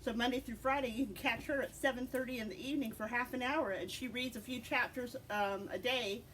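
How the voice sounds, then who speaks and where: A middle-aged woman giving a conversational book talk as a librarian, in an encouraging, gently prompting manner. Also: fairly high pitch, fairly even intonation, a little fast. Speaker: librarian and co-host recommending books. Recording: distant mic with loud background noise.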